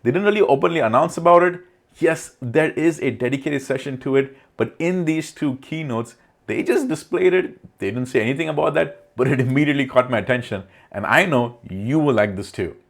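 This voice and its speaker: A man's voice: mid-range voice, brisk, gravelly. A man narrating an informal tech explainer over slides, talking directly to the viewer in a conversational way. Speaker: tech video presenter.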